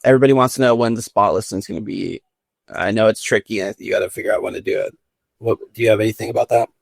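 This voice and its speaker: A man's voice: fairly low pitch, steady tone, racing, gravelly, no room sound. A man hosting a podcast, asking laid-back, slangy questions. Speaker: podcast host. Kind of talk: casual podcast interview.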